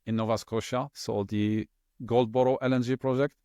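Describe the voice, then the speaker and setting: A middle-aged man whose first language is French, speaking as an interview guest in a relaxed, hesitant way with many fillers and restarts. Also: medium pitch, moderate pace, clear, resonant tone, no echo, clean studio sound. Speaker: interview guest, LNG specialist. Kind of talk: conversational interview.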